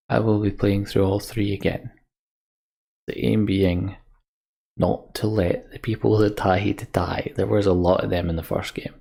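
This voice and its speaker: A man giving casual, reflective game commentary as a let's play host, halting and wistful, often sighing. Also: fairly low pitch, gravelly, dark tone, breathy edge. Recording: music behind the voice. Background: game soundtrack music.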